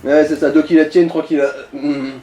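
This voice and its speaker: A man's voice: a little high, flat delivery, brisk, mellow. A man chatting casually as he advises on a video game, crude and joking at times, often explaining things. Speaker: co-commentator advising the player. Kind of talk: casual gaming let's play chat. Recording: roomy, distant mic, faint noise.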